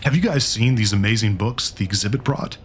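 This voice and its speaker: A man's voice: lowish voice, fairly quick, a little hiss or hum, natural voice. A man voicing an earnest history teacher who explains things in a didactic, scripted lecture for a comic dub. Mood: enthusiastic.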